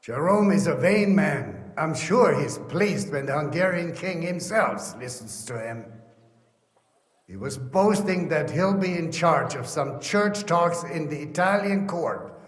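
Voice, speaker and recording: An actor playing a sober, resigned medieval noble's adviser explaining matters in a video game cutscene. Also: male voice, a little high, lively intonation, measured pace, reverberant, in a large hall.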